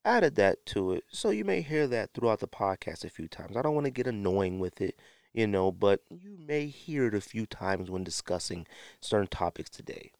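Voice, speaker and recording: American male podcast host, middle-aged, lowish register, dry close sound, slangy and conversational. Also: sing-song, moderate pace, vocal fry, a little mellow, no echo. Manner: conversational, slangy, emphatic repetition. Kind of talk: casual solo podcast talk.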